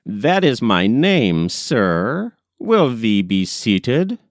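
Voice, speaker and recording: Male narrator playing cautious lawyer, slow, formal, old-fashioned courtesy, varied pitch, storybook dialogue. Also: sing-song, no room sound, studio quality, exaggerated voice. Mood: courteous. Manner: slow, formal, archaic thee and thy. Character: deliberate, formal Quaker-spoken male lawyer, cautious temper.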